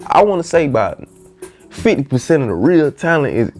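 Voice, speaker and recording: A man's voice: fairly high pitch, very animated, fairly quick, a little mellow, faint music behind. A young man, a rapper telling his story as a podcast guest in loose, slangy talk. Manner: loose, slangy, peppered with "you know what I'm saying". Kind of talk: casual storytelling interview.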